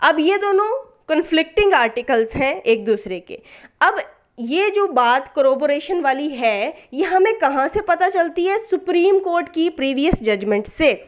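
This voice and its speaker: A woman's voice: sits high, expressive, measured pace. A woman giving an explanatory law lecture as a teacher, posing questions and answering them, repeating points and mixing in English terms. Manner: mixes in English legal terms.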